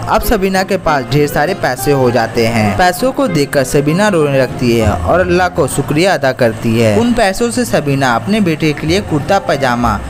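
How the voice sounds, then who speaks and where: Male narrator, higher voice, animated intonation, scripted children's storytelling, music underneath. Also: moderate pace, hardly any pauses.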